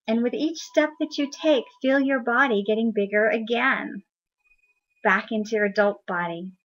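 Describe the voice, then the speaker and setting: Calm woman guiding a meditation, really slow, soft, muffled lo-fi audio. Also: medium pitch. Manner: soft, soothing, unhurried. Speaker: meditation guide. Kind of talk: guided meditation narration. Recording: dull lo-fi recording.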